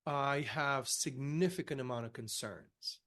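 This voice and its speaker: A man from Romania speaking as a podcast guest, conversational, haltingly repeating words as he builds his point. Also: mid-range voice, fairly bright tone. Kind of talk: conversational discussion.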